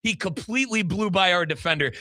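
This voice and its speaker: A man with an American accent hosts sports talk in a high-pitched voice. The sound is dry and close, and his tone is bright and forceful. He is emphatic and colloquial as he recaps the action. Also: brisk, dry recording. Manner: emphatic, colloquial, addresses listeners and co-host directly. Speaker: sports show host and radio play-by-play commentator.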